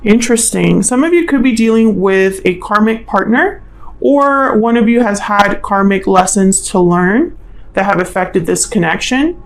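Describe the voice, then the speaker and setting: Woman with creaky voice reading tarot. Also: mid-range voice, lively intonation, unhurried. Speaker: tarot reader, channel host. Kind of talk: informal spoken tarot reading.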